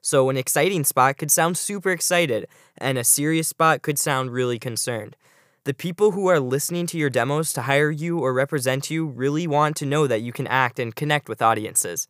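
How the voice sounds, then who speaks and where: A man's voice: mid-range voice, moderate pace, a bit bright, dry recording, clean studio sound. A male guest on a podcast giving prepared advice.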